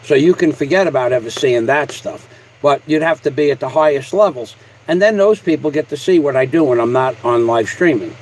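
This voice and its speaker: High-pitched man on a combative livestream rant. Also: a little mellow, slight background noise, poor audio. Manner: combative, boastful, foul-mouthed. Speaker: live streamer addressing viewers. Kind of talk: casual livestream rant to a viewer.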